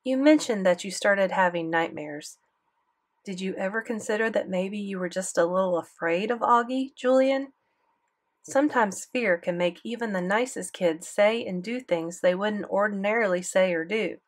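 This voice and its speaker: A kind male middle-school teacher offers gentle, earnest advice, read by a woman narrator. He speaks evenly and warmly encouraging, like a letter read aloud in a storybook. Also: medium pitch, moderate pace, close to an everyday voice.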